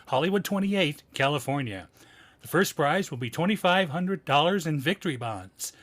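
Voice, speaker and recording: A hearty male radio announcer acted by a man with a bright, forceful, fairly high voice. He delivers polished, formal copy in a 1940s style.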